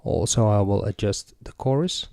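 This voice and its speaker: A man's voice: lowish voice, normal speed, gravelly, warm tone, dry recording. Man giving a dry, step-by-step tutorial. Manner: methodical, explains step by step. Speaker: music gear tutorial presenter. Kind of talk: step-by-step hands-on demonstration.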